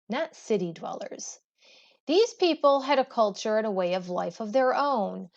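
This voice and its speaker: Female schoolteacher, textbook read-aloud. Unhurried, explanatory delivery, slightly dull lo-fi sound. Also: on the high side. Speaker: school teacher. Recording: dull, muffled lo-fi audio.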